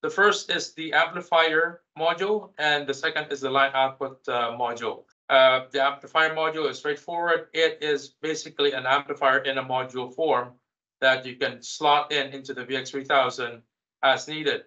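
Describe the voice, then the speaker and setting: A man presents a technical product webinar in a bright, forward voice at a medium pitch. His delivery is halting, with hesitations and repeated words as he explains. The audio is a little dull and lo-fi. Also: fairly even intonation.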